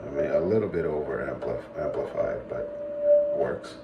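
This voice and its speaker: A man with a low-pitched voice talks slowly through a hands-on equipment demonstration. He is halting and matter-of-fact, with a lot of creak in his voice. The recording has clear background noise. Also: lo-fi sound. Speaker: radio hobbyist demonstrating equipment.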